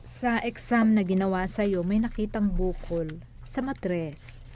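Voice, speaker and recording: A woman's voice: medium pitch, slow pace, a little mellow, a little hiss or hum, lightly acted. An actress playing a serious, reassuring female doctor in a radio drama. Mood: grave.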